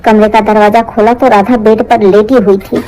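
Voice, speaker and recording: A woman's voice: mid-range voice, little pitch movement, normal speed, warm tone, rough recording. A woman giving dramatic scripted narration for a cartoon family story. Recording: music under the voice.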